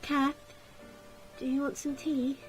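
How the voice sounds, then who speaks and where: A woman voicing a gentle, patient young maid in a visual novel, speaking in a mild, conciliatory way. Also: high voice, little pitch movement, takes it slowly, soft background music, pitched above the performer's own voice, low-quality recording.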